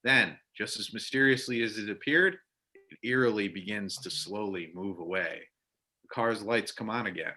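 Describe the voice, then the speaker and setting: Bright, forceful male narrator. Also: lowish voice, normal speed. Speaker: narrator and reader. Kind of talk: scripted narration, stage directions.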